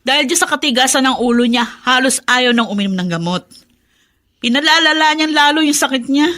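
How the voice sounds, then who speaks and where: Casting: worn-out caregiver daughter, high piercing voice, complaining and fed up, radio play. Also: female voice, fairly quick, sharp tone. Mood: frustrated. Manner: aggrieved, complaining. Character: exasperated, weary dutiful adult daughter, caregiver. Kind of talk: radio drama acting.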